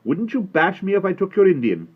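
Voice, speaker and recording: A man voicing a shrill, possessive, hot-headed young boy with a whiny manner. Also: big pitch swings, a little fast, husky, heavily acted. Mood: defiant. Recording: dull lo-fi recording.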